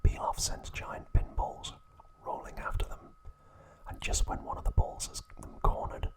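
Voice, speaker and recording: A man narrating in a hushed, breathy ASMR whisper, in short phrases with pauses. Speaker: ASMR host.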